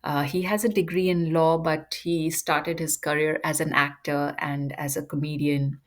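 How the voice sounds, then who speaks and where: Woman, low voice, educator-style monologue, halting with ums. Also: fairly even intonation. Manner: measured, frequent ums and uhs. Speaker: educator presenting a topic. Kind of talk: unscripted lecture monologue.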